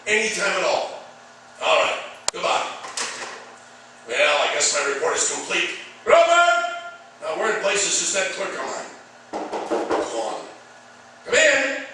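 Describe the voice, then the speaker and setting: Authoritative older male fire chief played by an actor, radio drama, bright voice, distant from the mic. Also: high voice, heavily acted. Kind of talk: old-time radio drama acting. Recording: very echoey, distant, faintly noisy radio drama.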